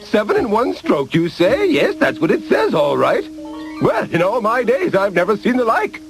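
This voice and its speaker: King: high, very animated, easily impressed, light music behind. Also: normal speed, vocal fry, heavily acted. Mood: flustered. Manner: flustered, stately. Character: fussy old male king, easily impressed. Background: background music.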